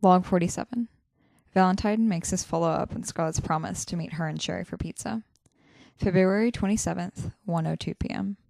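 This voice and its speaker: A young woman playing a dry, cynical, practical operative in an audio drama, with a deadpan, sardonic way of talking. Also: fairly low pitch, a little fast, vocal fry, dry recording. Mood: flat, reporting. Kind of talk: audio drama dialogue and log entries.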